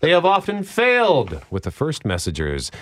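A man's voice: fairly high pitch, huge pitch swings, moderate pace. A man with a Canadian accent hosting a radio show, bantering and reading items aloud.

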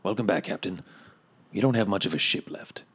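A man playing a calm male ship's doctor in a tabletop game, speaking matter-of-factly. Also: fairly low pitch, brisk, husky. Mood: steady.

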